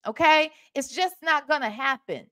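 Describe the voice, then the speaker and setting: Woman, forties, Southern US accent, emphatic sassy talk, slightly high voice, home webcam setup. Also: normal speed, pushed and bright. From Ohio, United States. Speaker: reaction video host. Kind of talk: casual commentary on a played call. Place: small room at home, indoors. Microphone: webcam with desk microphone.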